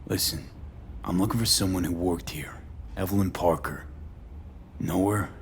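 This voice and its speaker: A guarded male mercenary in a sci-fi game. His voice is very low and his delivery is terse and blunt. Faint ambient noise runs underneath. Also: moderate pace. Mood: guarded, impatient. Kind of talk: scripted video game acting.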